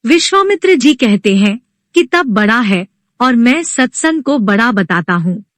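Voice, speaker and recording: Woman as a composed, slow-spoken devout sage. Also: a little high, very animated, no echo, studio quality. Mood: earnest. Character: devout, composed male sage seeking judgment.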